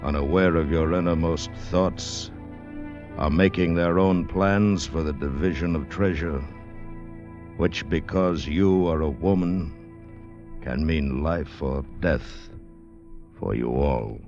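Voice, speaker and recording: An actor as a grave, ominous American announcer, delivering dramatic scripted narration for an old radio drama. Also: male voice, extremely low pitch, slow pace, a little mellow, full character voice. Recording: muffled old radio recording, music behind.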